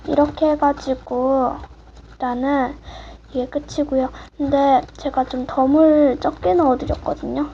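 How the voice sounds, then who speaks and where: A teenage girl softly showing off swap items for viewers, her voice a little rough and her manner apologetic. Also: high-pitched, flat delivery, a little slow. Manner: soft-spoken, apologetic, hushed at times. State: voice a bit rough. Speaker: vlogger. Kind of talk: casual show-and-tell of swap items. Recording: muffled home recording with clear background hiss.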